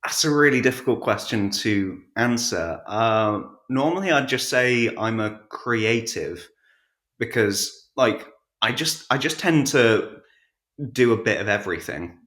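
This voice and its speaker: A man's voice: mid-range voice, lively intonation, a little slow, fairly bright tone, roomy sound. A man chatting casually as an interview guest, with a hesitant, self-effacing way of talking. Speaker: podcast guest, writer and voice actor.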